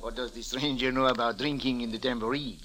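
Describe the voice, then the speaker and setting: A man's voice: medium pitch, fairly even intonation, a little fast, lightly acted. An actor playing a short, fat, ingratiatingly courteous foreign man with stilted phrasing in an old radio drama. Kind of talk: 1940s radio acting. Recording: faintly noisy old radio recording.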